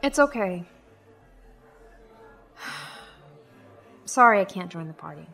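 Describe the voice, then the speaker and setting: A woman playing a dedicated, weary young teaching assistant in an audio drama, measured and quietly resolute. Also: lowish voice, lively intonation, vocal fry, heavily acted. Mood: subdued.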